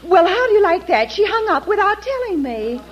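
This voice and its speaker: A woman's voice: very high-pitched, sing-song, normal speed. A woman playing a chatty, scatterbrained clerk in 1940s American radio comedy, gushing, giggly and rambling. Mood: flustered. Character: chatty, scatterbrained, flighty young female office clerk. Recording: dull, noisy old radio recording.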